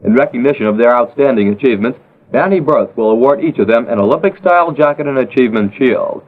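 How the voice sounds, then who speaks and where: A man's voice: mid-range voice, quick pace. Casting: formal American man reading community notices, mid-range voice, narrow tinny old broadcast. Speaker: radio announcer. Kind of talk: formal scripted community event announcement. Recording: tinny, narrow-band old radio recording.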